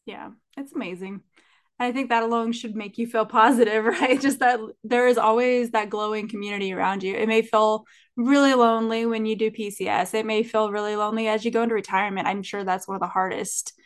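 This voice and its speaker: A woman's voice: fairly high pitch, fairly even intonation, a little fast. A woman co-hosting a podcast, chatting casually and enthusiastically. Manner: enthusiastic, upbeat. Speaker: podcast co-host.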